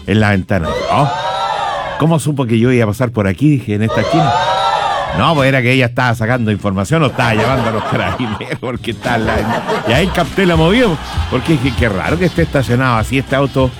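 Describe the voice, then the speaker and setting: Chilean male radio host, lively colloquial banter and rambling anecdotes, higher-than-average voice, clear music bed. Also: quick pace. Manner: rambling, jokey, colloquial, chuckles often. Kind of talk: casual humorous chat, anecdotes and listener greetings.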